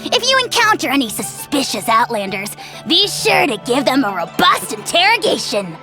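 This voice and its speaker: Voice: sits high, wildly swooping pitch, normal speed. An actor voicing a tiny, haughty dragon creature in a video game, pompous and insulting, with game music behind. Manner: pompous, imperious royal "we", insulting. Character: tiny haughty, bombastic self-proclaimed dragon lord creature. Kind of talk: video game character acting.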